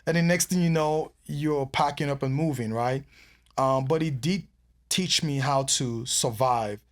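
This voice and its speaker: A man's voice: medium pitch, measured pace. A man from Nigeria, a guest in a casual interview, speaking reflectively with frequent ums. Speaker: podcast guest.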